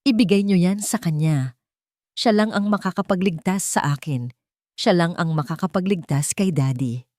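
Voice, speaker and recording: A woman's voice: fairly low pitch, normal speed, darkish tone, no echo, clean studio sound, close to an everyday voice. A woman with a Filipino accent voicing a frightened young blind boy who pleads urgently in a story. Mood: urgent pleading.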